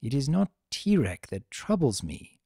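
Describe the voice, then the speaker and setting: A man's voice: medium pitch, sing-song, a little slow, creaky, dark tone, breathy edge, dry recording, clean studio sound, exaggerated voice. A man voicing a wise, regretful elder pony princess in a dramatic story reading, grave, restrained and formal. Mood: subdued, grave.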